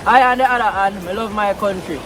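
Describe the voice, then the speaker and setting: Relaxed Jamaican vlogger, a man with a high voice and a Patois accent, filmed outdoors by a river with noisy water behind. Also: fairly quick. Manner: relaxed, chatty, mixing Patois into English. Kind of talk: casual vlog talk outdoors.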